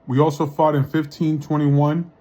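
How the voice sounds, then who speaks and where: A man's voice: a little high, level tone, moderate pace, dark tone. A man from the Dominican Republic giving a casual spoken monologue, often tagging his points with "right?" and restarting sentences. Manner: conversational, often tags lines with "right?" and restarts. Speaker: vlogger.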